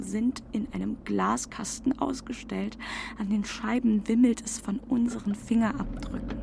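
A woman's voice: a little high, creaky, background noise. An actress playing a defiant, blunt and opinionated young woman in a radio play. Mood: brooding, observing. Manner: blunt, contradicting, colloquial. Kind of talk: radio play monologue and dialogue.

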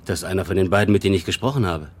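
A man's voice: deep voice, rapid-fire, a little hiss or hum, close to an everyday voice. An actor playing a matter-of-fact police officer in a radio play, giving a plain, factual report to his superior.

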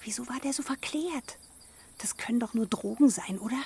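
An actress playing a wary, exasperated middle-aged German wife in naturalistic radio drama dialogue, reproachful and sceptical. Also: female voice, fairly high pitch, normal speed, vocal fry, darkish tone, slightly rough, heavily acted.

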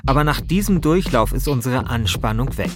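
A man's voice: medium pitch, lively intonation, fairly quick, music in the background. A man hosting a podcast with scripted narration. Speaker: host.

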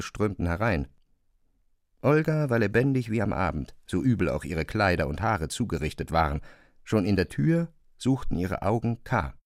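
A man giving a dramatic literary narration for an audio drama, expressive and precise in his diction. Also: low voice, dry recording, clean studio sound.

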